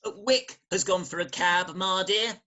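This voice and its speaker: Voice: medium pitch, fairly even intonation, moderate pace, bright, forceful tone, natural voice. An actor playing a breezy, scheming upper-class British youth, wheedling and cocky in a stage farce. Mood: sly, innocent. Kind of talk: theatrical staged reading of Victorian farce. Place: home, recorded remotely.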